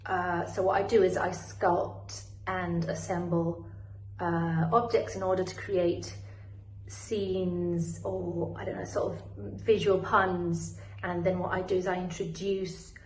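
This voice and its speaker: British-accented woman talking about her art, slow and careful, echoey room, bit of background hiss. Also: on the low side, level tone. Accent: British English. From Spain. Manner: thoughtful, measured, with small restarts. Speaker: artist presenting her work. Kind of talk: recorded video message.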